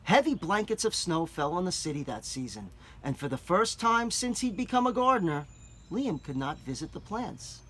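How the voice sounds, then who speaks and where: Breathy man reading aloud to kids. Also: sits high, very animated, normal speed. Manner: gentle, explaining words to children. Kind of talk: children's read-aloud with asides.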